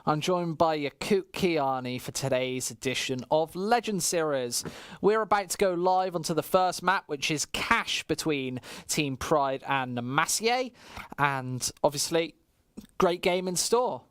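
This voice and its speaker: A man's voice: a little high, animated, unhurried, fairly bright tone, clear, resonant tone, no echo, clean studio sound. A man commentating on esports in a casual, bantering way.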